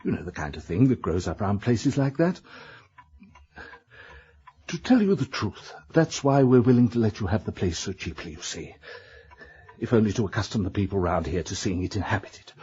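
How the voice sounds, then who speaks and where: A man's voice: lowish voice, lively intonation, moderate pace, warm tone, breathy edge. A man playing a fussy, evasive small-town British letting agent in a radio drama, hesitant, rambling and eager to please. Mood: evasive, uneasy. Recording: dull lo-fi radio drama recording.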